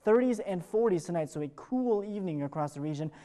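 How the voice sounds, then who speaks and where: A man's voice: high-pitched, expressive, quick pace, dark tone. A man with an American accent presenting a morning television weather forecast in a chatty, upbeat broadcast manner. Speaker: television morning show meteorologist.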